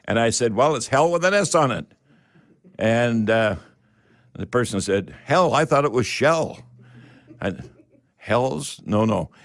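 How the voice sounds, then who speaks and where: A man's voice: mid-range voice, animated, a little slow, long pauses, dry recording. A man hosting a live radio call-in show in a folksy manner, repeating his catchphrases.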